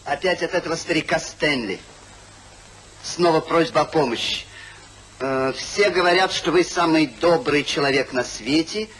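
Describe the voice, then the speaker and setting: An actor playing a calculating, disdainful gentleman hypocrite in a 1950s radio play. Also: on the high side, moderate pace, close to an everyday voice. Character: smooth hypocritical gentleman schemer, calculating and stingy. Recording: faintly noisy 1950s radio recording.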